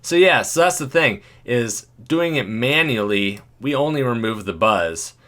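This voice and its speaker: A man with a deep voice, talking casually and thinking aloud as he walks through an editing tutorial, chuckling now and then. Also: animated, unhurried, fairly bright tone. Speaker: video creator.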